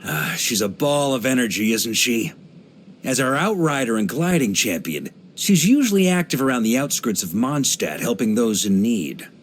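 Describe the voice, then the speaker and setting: An actor playing a hearty, easygoing veteran knight grandmaster in a video game, speaking in an expressive, playful, conversational way. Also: male voice, medium pitch, normal speed, slight background noise, close to an everyday voice. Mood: cheerful, proud. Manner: jovial, expressive, playful, chuckling asides.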